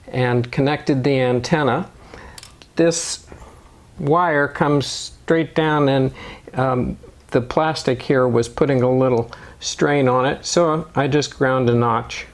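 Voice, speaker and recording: A man narrating step-by-step DIY instructions in a measured, matter-of-fact way. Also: mid-range voice, steady tone. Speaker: motorcycle DIY video host.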